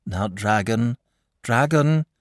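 A man voicing an excitable young village boy. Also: fairly high pitch, normal speed, no echo, clean studio sound, voice pushed up. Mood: pleading. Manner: breathless, pleading.

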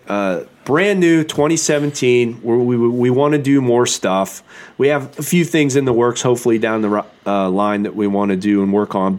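A man hosting a podcast in casual chat, talking with a sore throat, rambling and enthusiastic with lots of fillers. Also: medium pitch. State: sore throat, losing his voice. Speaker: podcast host.

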